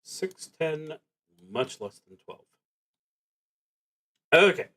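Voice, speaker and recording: A man hosting a solo roleplaying stream, musing to himself in a halting, deliberate way as he plays. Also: on the high side, expressive, pushed and bright. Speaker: host of a solo roleplaying livestream. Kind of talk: thinking aloud while playing a solo tabletop game.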